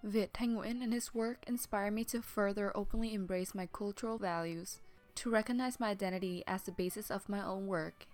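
Young woman reading an earnest tribute. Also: mid-range voice. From Vietnam. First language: Vietnamese. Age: early 20s. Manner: earnest, formal and steady. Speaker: student.

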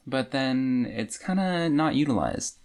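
Creaky-voiced woman in casual book chat. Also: very deep voice, animated, unhurried, darkish tone, slight background noise. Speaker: podcast co-host.

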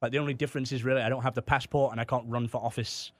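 A young English man with a slightly Canadian-tinged accent, chatting as a comedian guest in a quick, self-deprecating way. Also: mid-range voice, steady tone, no echo, studio quality. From England. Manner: quick, self-deprecating, stumbles over starts. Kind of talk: casual podcast chat.

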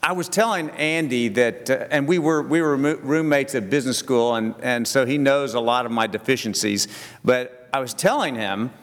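A middle-aged or older man, giving a folksy, halting, self-deprecating talk to a group. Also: mid-range voice. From southern United States. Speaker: speaker addressing a church group. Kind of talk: personal talk with stories and Bible reading. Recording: long echoing hall reverb.

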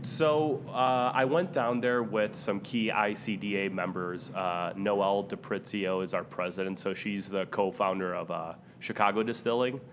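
Low-voiced, agreeable distiller man. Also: fairly even intonation, normal speed, few pauses, noisy background. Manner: agreeable, chimes in often, trails off. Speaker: distillery owner, trade group member. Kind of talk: casual chat.

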